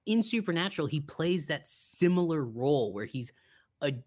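A man's voice: on the high side, very animated, moderate pace, a little mellow. Casual, enthusiastic man podcast co-host. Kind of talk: casual film chat.

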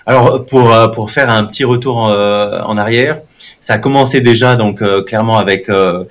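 A man's voice: on the low side, normal speed. A middle-aged man from France, answering interview questions in a conversational way, with many fillers and restarts. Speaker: guest, marketing institute dean.

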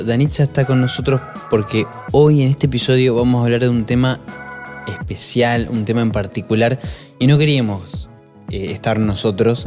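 Argentine guy in his 20s, podcast host chatting casually, quiet music under him. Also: medium pitch. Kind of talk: casual chat, interviewing.

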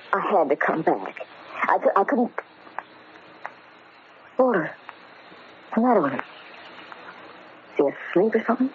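An actress playing a gangster's emotional sweetheart in a melodramatic 1940s radio drama style. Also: female voice, lowish voice. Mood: tender, remorseful. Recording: scratchy, narrow-band old radio recording.

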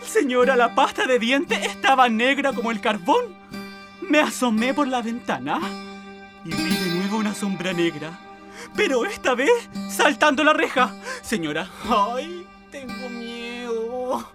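A woman playing an excitable, fearful housemaid from the south in a radio play, with a Chilean accent and a breathless, deferential, rambling delivery. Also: fairly high pitch, unhurried, music in the background. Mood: panicked. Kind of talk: Spanish-language radio theatre acting.

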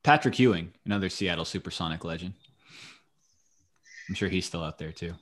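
A man's voice: deep voice, brisk, fairly bright tone. A young man hosting a sports podcast with laid-back, casual and sometimes profane banter. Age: young adult. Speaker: podcast host.

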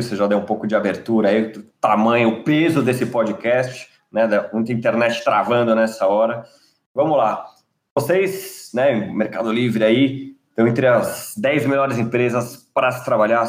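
Upbeat man hosting a podcast. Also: mid-range voice. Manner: enthusiastic, chatty. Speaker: podcast host.

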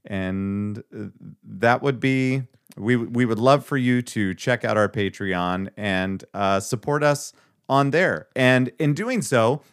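A man's voice: mid-range voice, slow pace, clean tone, dry recording, clean studio sound. A man co-hosting a podcast in casual chat, laid-back, laughing often and swearing casually. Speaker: podcast co-host.

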